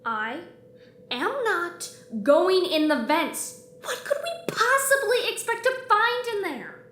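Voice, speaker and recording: A woman's voice: extremely high pitch, sing-song, takes it slowly, fairly bright tone, exaggerated voice. A woman playing a small, exasperated, reluctant young spaceship captain in a sci-fi audio drama, indignant and protesting. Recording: echoey room with faint noise.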